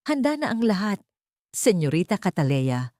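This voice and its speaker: A woman plays an aged male attorney in an audio drama. The speech is formal and deferential. The tone is soft-edged, with lively melodic phrasing. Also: mid-range voice, normal speed, dry recording, clean studio sound, lightly acted. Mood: formal, respectful. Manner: formal, respectful. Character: deferential elderly male family lawyer.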